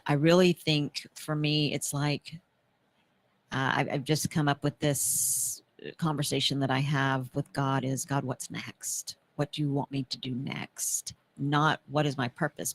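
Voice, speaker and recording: A woman's voice: very deep voice, fairly even intonation, a little slow. A woman in her mid-fifties hosting a talk show, speaking earnestly and reflectively about purpose and faith. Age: 50s. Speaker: host.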